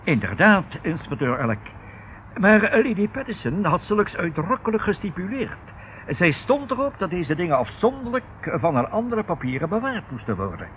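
On a tinny, faintly noisy radio recording, a formal male solicitor. His voice is fairly high and swings about as he speaks in precise, fussy, emphatic phrases. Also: very animated, normal speed. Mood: fussy, explaining. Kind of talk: radio drama acting.

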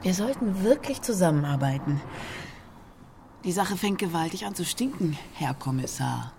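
A woman's voice: on the low side, expressive, normal speed, mellow, a little hiss or hum. An actress playing an inquisitive, teasing female journalist in a naturalistic radio crime drama. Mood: wry. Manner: teasing, pressing with questions.

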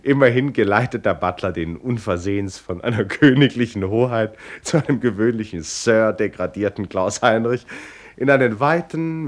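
A male storyteller with a fairly low voice narrates a radio play. He is ironic and teasing, with a knowing, conversational way of addressing his audience and characters. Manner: ironic, teasing, chuckling asides.

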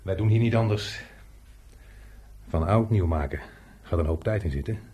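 An actor playing a chatty, jovial unemployed handyman in a radio drama, talking colloquially with a raspy, husky voice. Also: sits low, moderate pace, dark tone. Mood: gossipy, wry. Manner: talkative, colloquial, chuckling. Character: chatty, jovial unemployed workshop handyman showing a newcomer around.

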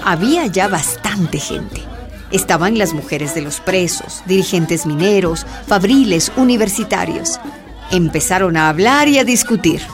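A woman's voice: fairly low pitch, a little slow, a crowd in the background, close to an everyday voice. A woman narrating a scripted radio drama as a plain storyteller. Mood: narrative. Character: female storyteller recounting events plainly. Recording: music bed behind narration. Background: music.

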